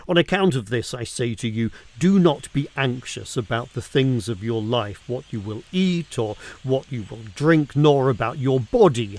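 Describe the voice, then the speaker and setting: A man reads scripture aloud with a very lively, swooping intonation. His pace is slow and deliberate, and he leans on key words with emphatic stresses and weighted pauses. The delivery is expressive and dramatic, in a middle-pitched male voice. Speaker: reader of scripture.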